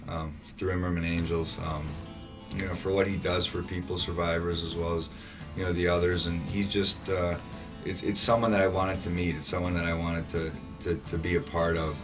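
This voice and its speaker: A man of thirty telling his story in a halting way, with many "uh"s and repeated words. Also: deep voice, level tone, distant mic, music in the background. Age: 30s. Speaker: cancer survivor, former athlete. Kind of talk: personal testimonial.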